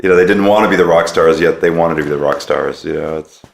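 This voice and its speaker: A man's voice: low voice, quick pace, vocal fry, roomy sound. A man hosting a podcast interview in a conversational style.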